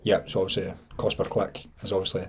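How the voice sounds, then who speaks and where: A Scottish man in his thirties giving a casual screen-share tutorial, thinking aloud informally with a slightly husky voice. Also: low voice, completely flat delivery, fast talker, slight background noise. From Scotland. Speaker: online marketing instructor.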